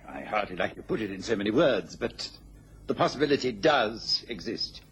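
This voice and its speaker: An actor playing a worried, dignified British government official in a radio drama, formal and hesitant. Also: male voice, fairly low pitch, expressive, normal speed, a bit bright. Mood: grave, confiding. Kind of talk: mid-century radio drama acting. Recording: noisy old radio recording.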